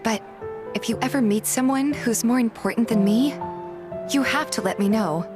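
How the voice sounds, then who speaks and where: A woman's voice: a little high, moderate pace, close to an everyday voice. A woman playing a guarded, feisty young heroine, narrating a romance game in the first person and acting out her dialogue. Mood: wistful. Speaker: narrator and lead in a fan dub. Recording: music behind the voice. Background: music.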